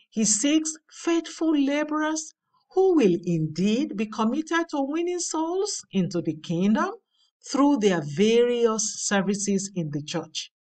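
A woman reading a daily devotional aloud in a measured, earnest way. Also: fairly high pitch, a little mellow, recorded from a distance. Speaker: devotional reader. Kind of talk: slow scripted devotional reading. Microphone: voice-over over slides.

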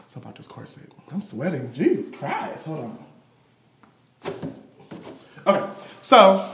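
A young American man telling candid personal stories live in a playful, sassy, slangy way as a performance artist. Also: mid-range voice. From Detroit, USA. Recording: roomy live gallery recording.

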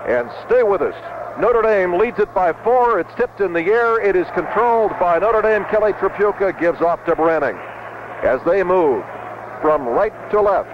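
A man's voice: sits high, lively intonation, normal speed. A man calling live basketball play-by-play as a radio announcer, in short clipped phrases. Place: basketball arena broadcast position. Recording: dull, noisy vintage 1970s radio broadcast.

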